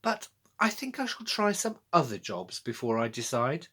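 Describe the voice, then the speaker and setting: A man's voice: medium pitch, sing-song, moderate pace, fairly bright tone, husky. A man voicing a plucky, earnest young schoolgirl detective in a children's storybook, with an urgent, forthright manner. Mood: thoughtful.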